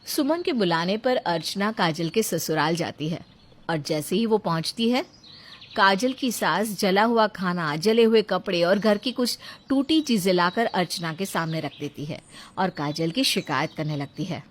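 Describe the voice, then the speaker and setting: A woman's voice: on the low side, lively intonation, normal speed. A woman narrator reading a scripted bedtime moral story.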